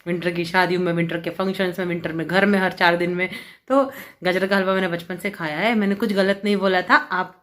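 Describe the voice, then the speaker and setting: A woman's voice: fairly low pitch, brisk, hardly any pauses. Chatty woman vlogging at home. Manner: chatty, animated storytelling with many fillers. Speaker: vlogger, homemaker. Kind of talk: casual chat to camera. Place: at home, seated with hair dryer.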